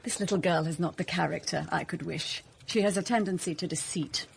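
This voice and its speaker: An actress playing a cold, spiteful Victorian aunt in a radio drama, with a haughty, clipped, disdainful manner. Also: female voice, on the low side, fairly quick, creaky, a little hiss or hum. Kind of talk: period radio drama acting.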